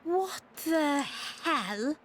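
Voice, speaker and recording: A woman's voice: sits high, expressive, extremely slow, full character voice. An actress playing a sassy, self-assured young woman in a fantasy game, with a pert, knowing manner. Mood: scornful. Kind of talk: video game cutscene voice acting.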